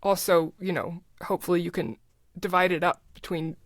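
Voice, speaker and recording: A woman's voice: on the low side, steady tone, a little fast, no echo. A middle-aged woman talking casually as a podcast guest, explaining things in a conversational way. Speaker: podcast guest, writer and producer.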